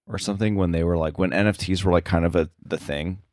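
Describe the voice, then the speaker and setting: A man speaking as an interview guest in casual chat, halting and informal, thinking his way through each phrase. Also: sits low, steady tone, a little mellow, dry recording, clean studio sound. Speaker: interview guest, sound editor.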